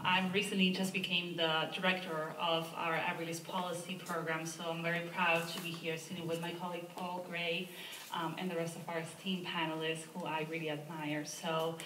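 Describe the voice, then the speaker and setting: A woman's voice: on the low side, bright, forceful tone, a little hiss or hum. A woman from Argentina with an Argentine Spanish accent, giving an earnest panel statement about her advocacy work and often tagging "right?" onto her points. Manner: earnest, with rhetorical "right?" asides. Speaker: environmental advocacy director, panelist. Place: museum lecture hall. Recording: echoey room, mic far off.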